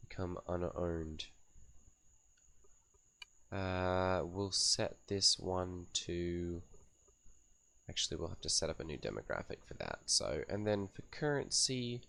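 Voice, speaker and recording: A man with a deep, low voice that is raspy and catching on a cough as he gets over a cold. He talks slowly and unhurriedly, reading menu options aloud and thinking as he goes, like a gaming host walking viewers through a setup. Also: lively intonation, sharp tone, a little hiss or hum. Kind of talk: casual game setup commentary.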